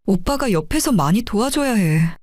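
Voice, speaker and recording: A woman's voice: medium pitch, very animated, normal speed, darkish tone, no room sound, clean studio sound. A woman playing a shy young bride-to-be who questions and pleads with her boyfriend. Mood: worried. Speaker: narrator's younger self in dialogue. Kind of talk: audio drama acting.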